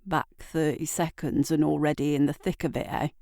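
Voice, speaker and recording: A woman's voice: low-pitched, fairly even intonation, normal speed, gravelly, mellow, dry recording. Audio drama role: taunting, pushy male neighbour, needling and smug, played by a woman narrator, dry studio sound. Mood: mocking, needling. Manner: mocking, needling.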